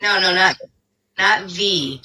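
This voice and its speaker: A woman's voice: medium pitch, slow, pushed and bright, a little hiss or hum. A young woman from Alabama on a video call, giving short laid-back answers and patiently correcting pronunciation. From Alabama, United States. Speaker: stranger on a video chat. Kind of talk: casual video chat.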